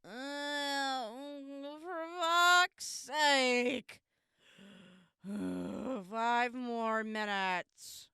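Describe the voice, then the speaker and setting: A woman playing a crude, sarcastic, lazy survivor in a post-apocalyptic audio drama, with a drawn-out, sardonic manner. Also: a little high, pushed and bright, clear, resonant tone, dry recording, studio quality, heavily acted. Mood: groggy, irritated. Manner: sardonic, foul-mouthed, drawn-out. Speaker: voice actor.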